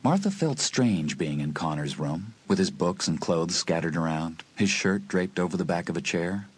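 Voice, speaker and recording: A man's voice: deep voice, expressive, moderate pace, slightly rough. A man reading scripted narration for a dramatised suspense audiobook. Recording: music under the voice.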